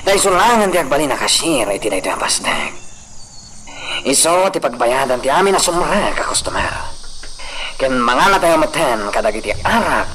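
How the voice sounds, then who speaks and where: A man's voice: mid-range voice, big pitch swings, moderate pace, bright, forceful tone. A man with an Ilocano accent playing an obliging right-hand man in an audio drama, deferential and eager. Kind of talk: Ilocano radio-style drama acting. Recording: noisy track with crickets and insects.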